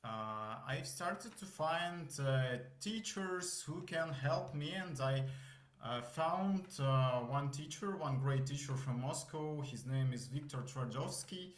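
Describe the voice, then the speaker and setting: A slow-spoken man with a Russian accent, in his thirties, talking as a guest on a podcast. He stops and restarts often as he hunts for words in English. His voice rings in an echoey room. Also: a little high. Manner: halting, searching for words, many restarts. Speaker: voice student guest. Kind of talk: podcast interview.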